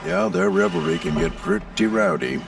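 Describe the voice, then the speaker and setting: Gravelly old-hand navy plane mentor. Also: male voice, low-pitched, huge pitch swings, moderate pace, far from the mic, over music, heavily acted. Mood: storytelling. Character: seasoned male navy plane, gruff mentor and storyteller. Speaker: commanding instructor recounting past races. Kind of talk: animated game storytelling.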